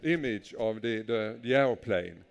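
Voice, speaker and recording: Gravelly male interviewer. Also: on the low side, expressive, dull audio. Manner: hesitant, measured questions with fillers. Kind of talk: conversational interview on stage. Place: public talk event.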